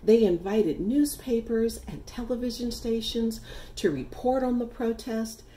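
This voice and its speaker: A middle-aged woman reading her own children's picture book aloud, with deliberate, expressive phrasing for young listeners. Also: fairly high pitch, a little hiss or hum. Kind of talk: read-aloud children's story narration.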